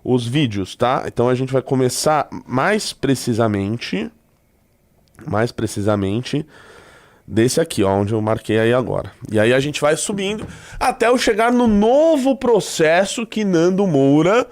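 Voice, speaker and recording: A man's voice: mid-range voice, lively intonation, a little slow. A Brazilian man with a stuffy nose hosting a live show in loose, joking commentary, peppered with "tá?". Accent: Brazilian Portuguese. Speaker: livestream host.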